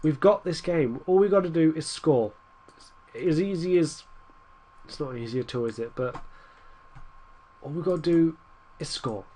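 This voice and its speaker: A man's voice: fairly high pitch, lively intonation, normal speed, long pauses, dark tone, slight background noise. A young man with a stuffy nose from a cold, rambling through casual commentary over a football management game and urging his team on. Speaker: gaming YouTuber.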